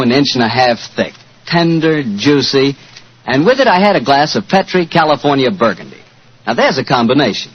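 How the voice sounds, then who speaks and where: Lively, slightly husky American radio announcer man. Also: mid-range voice. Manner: folksy, salesman-like. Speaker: radio announcer and sponsor's pitchman. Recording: muffled 1940s radio broadcast recording.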